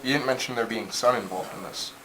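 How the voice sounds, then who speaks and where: A man's voice: mid-range voice, a little fast. A man in casual comic banter as the sidekick listener, asking questions in a mild, hesitant way. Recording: noisy amateur home recording.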